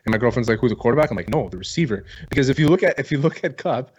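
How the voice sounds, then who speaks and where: A man co-hosting a sports podcast in casual banter, blunt, opinionated and quick to swear. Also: mid-range voice, animated, darkish tone, slightly rough.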